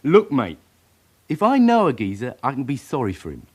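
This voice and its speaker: A man's voice: medium pitch, wildly swooping pitch, moderate pace, breathy edge. An actor playing a defiant young male suspect with a London accent in a radio crime drama, talking in truculent, insistent slang. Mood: stubborn. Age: young adult. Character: defiant twenty-one-year-old loudmouth suspect.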